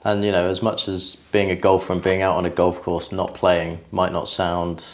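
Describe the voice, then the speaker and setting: A man's voice: low voice, level tone, moderate pace. A young man, chatting easily as the show's camera operator. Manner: easygoing, agreeable. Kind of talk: casual chat.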